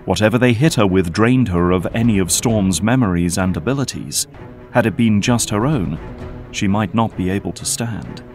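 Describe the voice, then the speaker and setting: A male narrator reads scripted audio drama prose. His voice is on the low side. Music runs clearly behind him. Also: normal speed, darkish tone. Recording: prominent music under narration.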